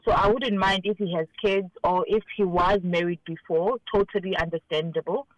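A South African woman in her forties calling in to a radio show, speaking calmly and thoughtfully about what she wants. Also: fairly low pitch, moderate pace, no room sound, muffled recording. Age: 40s. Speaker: caller. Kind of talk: radio phone-in interview.

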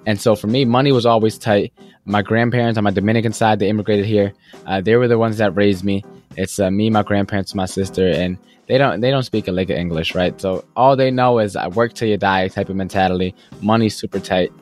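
A young man in his twenties, a guest entrepreneur, telling his story in an interview in an earnest, fast-flowing way with many "you know"s and "right?"s. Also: on the low side, fairly even intonation, darkish tone, faint music behind. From United States. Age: young adult.

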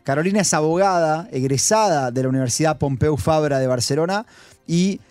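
A man's voice: a little high, brisk, darkish tone, dry recording. A man hosting a radio interview, introducing the guest and asking short follow-up questions. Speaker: radio host.

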